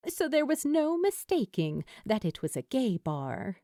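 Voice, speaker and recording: Female story narrator, slow pace, lively intonation, dry close sound. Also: on the high side, no room sound. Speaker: audiobook-style fan fiction narrator.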